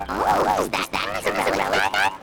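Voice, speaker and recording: A woman voicing a tiny, chirpy, childlike companion sprite in a video game, curious and confident, with a squeaky voice. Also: monotone, unhurried, vocal fry, music in the background, full character voice. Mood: surprised. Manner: perky, inquisitive. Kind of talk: cartoonish video game dialogue acting.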